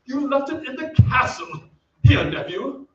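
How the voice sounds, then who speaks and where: High-voiced theatrical old ghost. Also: male voice, very animated, unhurried, heavily acted. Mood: grandly fussing. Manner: theatrical, archaic speech. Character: fussy old aristocratic ghost uncle, archaic and cowardly. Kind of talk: old-time radio drama acting. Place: theatre stage. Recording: roomy, distant, dull, faintly noisy stage recording.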